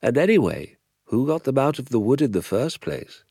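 A man voicing a genial, quietly triumphant old village doctor with a British accent and a knowing manner. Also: medium pitch, sing-song, moderate pace, no echo, studio quality, voice pushed up. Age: older.

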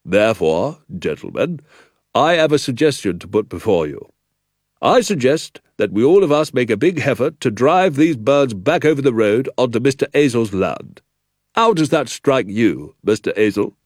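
A stern village sergeant measures out his words with officious care in a storybook reading, as a male narrator puts him on. His country grammar slips as his pitch rises and falls in lively turns. The sound is close and dry. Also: no echo. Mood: placating. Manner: slow, officious, ungrammatical country speech. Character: stern rural village police sergeant man.

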